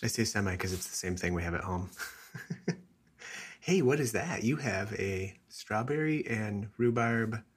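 A man co-hosts a relaxed chat from his office. His voice is low and a little husky, and he talks in an easygoing, joking way. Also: a little slow. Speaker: podcast co-host. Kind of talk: casual chat.

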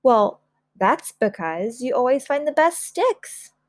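A woman's voice: sits high, big pitch swings, takes it slowly, no echo, clean studio sound, voice pushed up, full character voice. A woman voicing a kind, comforting father in a children's storybook. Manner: comforting, encouraging. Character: kind, reassuring father of a young girl.

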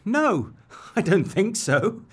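A man playing a flustered, evasive suburban neighbour in a dark comedy audio drama, nervous, over-polite and hesitant. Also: high voice, very animated, slow, slightly rough, heavily acted. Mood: falsely cheerful. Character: flustered, evasive suburban male neighbour caught lying to police.

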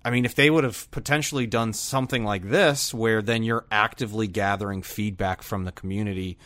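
A man chatting casually as a podcast panellist, thinking aloud in a halting way. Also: lowish voice, steady tone, a bit bright, no echo. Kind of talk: casual podcast chat about video games.

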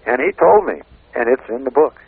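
A man speaks in a casual, explanatory interview style at a middling pitch, looking back on his work. His voice comes through a narrow, phone-like line that trims the highs and lows. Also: expressive, fairly quick, vocal fry, breathy edge. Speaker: radio interviewer and producer as guest.

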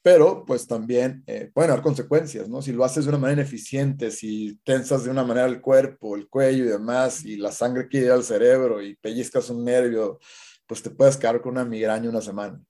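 Guy instructor, soft dark tone, stops and restarts a lot. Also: medium pitch, fairly even intonation, a little fast. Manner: hesitant, many restarts and fillers. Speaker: certified cold-exposure instructor. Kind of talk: conversational interview answer.

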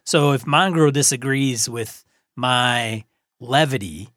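A man's voice: medium pitch, takes it slowly, a bit bright, no echo, studio quality. A North American man co-hosting a podcast, talking casually in a blunt, slangy, informal way. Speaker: podcast co-host. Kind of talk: casual discussion.

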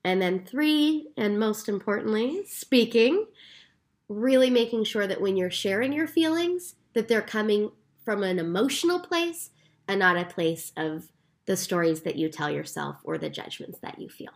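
A woman co-hosting a podcast in calm, explanatory casual chat. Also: mid-range voice, moderate pace. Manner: calm, explanatory, recaps steps. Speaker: podcast co-host.

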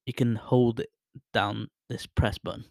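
A man's voice: lowish voice, steady tone, a little slow, creaky, darkish tone, no echo. A man casually reviewing a microphone he is testing, rambling and self-deprecating, laughing at himself, speaking close into a studio mic at his desk. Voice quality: voice others call soothing. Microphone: close studio microphone with headphones.

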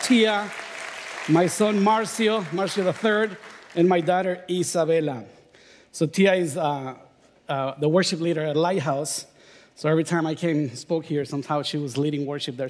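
A middle-aged man from Honduras with a Honduran Spanish accent, preaching a sermon in a relaxed storytelling way with self-deprecating humor. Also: a little high. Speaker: guest preacher. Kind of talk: sermon with personal stories.

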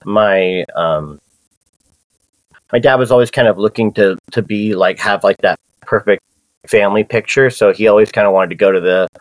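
A man talks casually about growing up. He is loose and rambling, full of fillers and restarts. His voice sits fairly low, and the sound is dry. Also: moderate pace, no echo, rough recording. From Southern California. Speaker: podcast guest. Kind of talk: casual personal storytelling.

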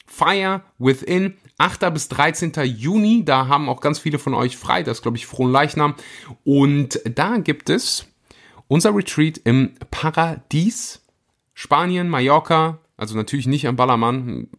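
A man hosting his podcast, introducing the episode in an energetic, casual way. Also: on the high side. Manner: energetic, enthusiastic, speeds up and slows for emphasis. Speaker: podcast host.

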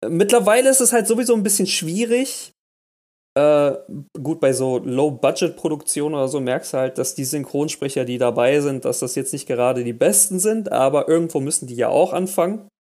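A man on a podcast chats away, animated and quick to stammer as his thoughts run ahead. His voice sits a little high for a man. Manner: animated, restarts and stammers when excited. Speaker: podcast co-host. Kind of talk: casual chat.

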